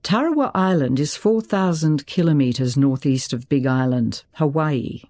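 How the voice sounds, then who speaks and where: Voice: medium pitch, lively intonation, unhurried, a little mellow, lightly acted. A narrator acts out a younger female minister in an audiobook thriller. She is curt and matter-of-fact, with frustration held behind terse replies.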